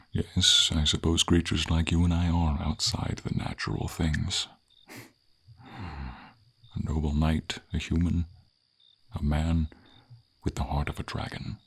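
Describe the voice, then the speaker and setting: A man playing a gentle, noble, melancholy silver dragon in an ASMR fantasy roleplay, speaking tenderly and formally in a breathy, gravelly voice. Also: little pitch movement, heavily acted. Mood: warm, amused. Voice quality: husky, gravelly, breathy low voice. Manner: slow, tender, formal, hesitant phrasing.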